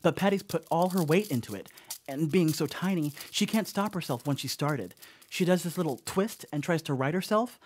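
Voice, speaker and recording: A man's voice: a little high, normal speed, slight background noise, natural voice. An actor as a rambling, wry stoned dog-sitter guy. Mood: tense, animated recounting. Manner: conversational, wry, animated asides. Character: stoned, rambling male dog-sitter confessing a spooky mishap. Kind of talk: first-person radio horror monologue.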